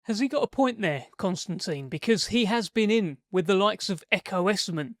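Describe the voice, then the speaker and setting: A male sports interviewer with a notably high voice for a man. He speaks as a host presenting a promotion and questioning guests, and the sound is dry and close, without room echo. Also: expressive, normal speed.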